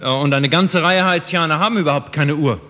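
A man's voice: on the high side, a little fast, pushed and bright. A man interpreting a sermon phrase by phrase for the listeners. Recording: muffled, reverberant hall.

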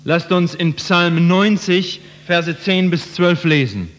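A man's voice: high voice, takes it slowly, a bit bright. A man interpreting a sermon phrase by phrase for the listeners. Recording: muffled, reverberant hall.

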